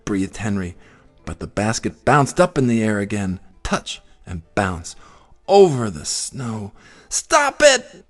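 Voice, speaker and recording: A man narrating a children's story in an expressive, theatrical way. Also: lowish voice, a little slow, soft background music. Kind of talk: dramatic children's story reading.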